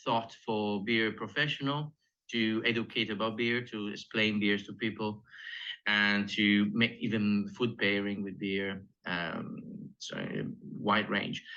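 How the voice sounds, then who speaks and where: An Italian-accented man with a bright, tense voice talks as a guest expert. His phrasing is not quite native, and he explains carefully. The audio is dull and muffled, like a remote call. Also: lowish voice. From Sardinia, Italy. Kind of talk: interview answers.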